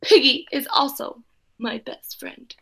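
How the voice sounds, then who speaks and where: A woman voicing an anxious, melodramatic male storybook elephant, with halting, drawn-out words and a dramatic delivery. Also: sits high, fairly bright tone, breathy edge, heavily acted. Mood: gloomy.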